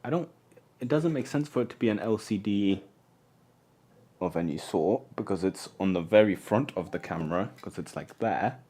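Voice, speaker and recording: Low-voiced man tinkering live. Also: expressive, moderate pace, warm tone, breathy edge. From born in Poland, raised mostly in England. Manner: hesitant, restarts often, murmurs to himself while tinkering. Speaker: electronics livestream host. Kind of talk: casual live stream chat while taking apart a camera.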